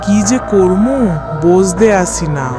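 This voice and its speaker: A woman voicing a fretful old village mother in a horror cartoon, with a Barisal accent and loud music behind her. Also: medium pitch, takes it slowly, close to an everyday voice. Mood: anxious. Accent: rural southern Bangladeshi (Barisal). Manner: fretful, lamenting. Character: anxious old village mother fretting over her son.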